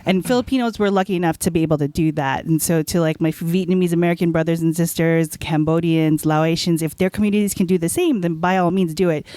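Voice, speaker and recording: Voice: mid-range voice, fairly even intonation, quick pace, hardly any pauses, a little mellow, clear, resonant tone, no echo. A young adult podcast guest giving earnest, opinionated views in a casual panel chat, thinking aloud with fillers.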